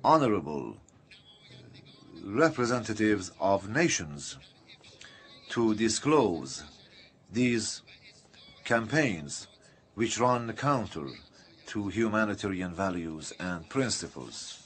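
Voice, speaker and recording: Guy doing live interpreting of a political speech, slow and choppy, pitch moves around a lot. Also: big pitch swings. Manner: halting, broken phrasing with uneven bursts.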